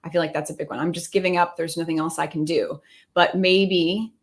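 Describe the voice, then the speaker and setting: A woman's voice: lowish voice, steady tone, brisk. A woman around her thirties speaking conversationally as a couples coach on a live video call. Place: indoor room, video call.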